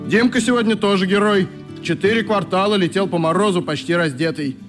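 An actor playing a caring, hurried young male leader of a youth club in a children's radio play, speaking expressively. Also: sits high, music in the background, close to an everyday voice. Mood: gentle concern.

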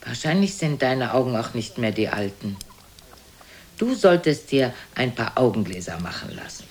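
A woman's voice: extremely low pitch, sing-song, normal speed, slightly rough, full character voice. An actress playing an imperious, blunt, aged mother who coolly belittles her son in a theatrical radio play. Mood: coolly reminiscing, belittling. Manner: haughty, probing, theatrical. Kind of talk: German radio play acting.

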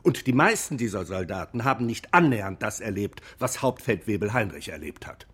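An actor playing an earnest defence lawyer in a radio courtroom drama, formal and measured. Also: male voice, lowish voice, sing-song, fairly bright tone, breathy edge, full character voice. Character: earnest defence lawyer pleading for a traumatised soldier.

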